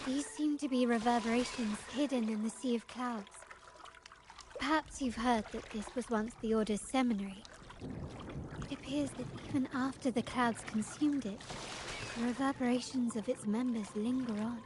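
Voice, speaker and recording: A woman playing a poised, cultured and enigmatic young lady in a video game, speaking formally and with measured eloquence. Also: fairly high pitch, background noise, close to an everyday voice. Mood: polite, intrigued. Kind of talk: scripted video game dialogue.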